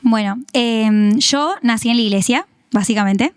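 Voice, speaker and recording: A young woman from Tucumán, Argentina, with a Tucumán accent, chatting warmly through her testimony with frequent laughs and fillers. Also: on the high side, a little slow, clear, resonant tone, no room sound, clean studio sound. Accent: Tucumán Argentine Spanish. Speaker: guest giving testimony. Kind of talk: casual testimony interview.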